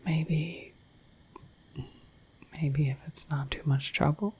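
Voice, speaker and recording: A woman voicing a shy, affectionate, dorky dragon in a soft, breathy, halting ASMR whisper, close to the microphone. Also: low voice, steady tone, heavily acted. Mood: bashful, curious. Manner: halting, gentle, earnest. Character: shy, dorky, affectionate female dragon, naive about humans.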